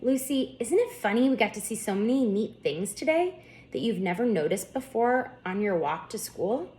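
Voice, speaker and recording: A woman voicing a lively, wise male pet turtle in a storybook, gently encouraging and full of wonder. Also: a little high, moderate pace, voice pushed up. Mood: gently inviting.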